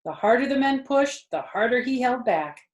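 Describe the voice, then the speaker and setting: A woman's voice: a little high, animated, normal speed. A woman reading a children's story aloud expressively, with conversational asides to her listeners. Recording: roomy echo.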